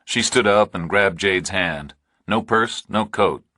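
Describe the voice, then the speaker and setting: Male audiobook narrator for a thriller: very deep voice, close dry sound. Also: slow, sharp tone, husky, no room sound.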